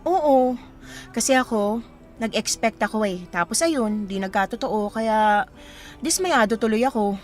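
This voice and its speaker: An actress playing a young single woman in a radio romance drama, coy, teasing and expressive as she talks with a man she likes. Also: fairly high pitch, unhurried, faint music behind. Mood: quietly disappointed. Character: young single woman shyly smitten with a travel acquaintance.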